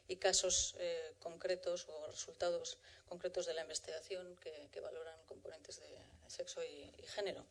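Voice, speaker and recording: A woman moderates a professional conference, covering practical notes and introducing the first speaker. Her pitch stays flat and even throughout. She speaks in a measured way, often pausing and restarting her sentences. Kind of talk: formal event introduction.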